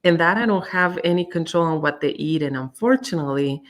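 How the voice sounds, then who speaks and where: Low-voiced chatty mom. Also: female voice, lively intonation, fairly quick. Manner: chatty, candid, many fillers and restarts. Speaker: guest. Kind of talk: casual chat on a live stream.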